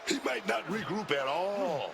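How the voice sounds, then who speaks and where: High-pitched, gravelly wrestling commentator man. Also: expressive, a little slow, noisy background, full character voice. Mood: dramatic. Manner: dramatic, emphatic delivery. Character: excitable male pro wrestling TV commentator. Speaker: sports commentator. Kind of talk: wrestling commentary in a video game.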